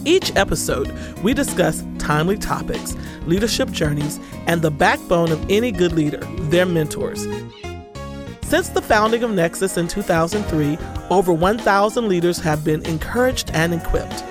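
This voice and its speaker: A woman's voice: lowish voice, lively intonation, moderate pace, over music. A woman hosting a podcast, reading a scripted intro and then chatting with a guest in an upbeat, enthusiastic way. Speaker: podcast host.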